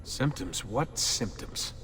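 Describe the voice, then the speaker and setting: A man's voice: mid-range voice, lively intonation, slow pace, a bit bright. An actor playing a wary, sarcastic young everyman in a modern video game scene, casual and sceptical. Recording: reverberant hall, faint noise.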